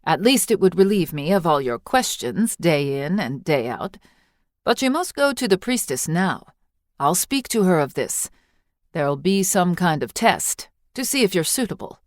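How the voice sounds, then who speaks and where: A woman's voice: fairly low pitch, very animated, moderate pace, dry recording, clean studio sound. A woman voicing a brusque, practical village mother in a story, scolding and bossy. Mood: grudging. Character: brusque, practical village mother, gruff but secretly fond.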